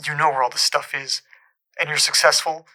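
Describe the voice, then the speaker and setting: A man playing a regretful, pleading caller to a radio show in an audio drama, talking earnestly and haltingly in a tense, creaky voice. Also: a little high, level tone, sharp tone, low-quality recording. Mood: pleading, insistent. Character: regretful, pleading male caller desperate for a second chance. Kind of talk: scripted audio drama, naturalistic acting.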